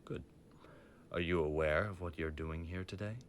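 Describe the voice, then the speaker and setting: A man's voice: low-pitched, fairly even intonation, moderate pace, a little hiss or hum, close to an everyday voice. A man playing a stern, menacing mafia boss father with a formal, commanding manner in an audio roleplay. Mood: cold, threatening. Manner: formal, commanding, coldly threatening.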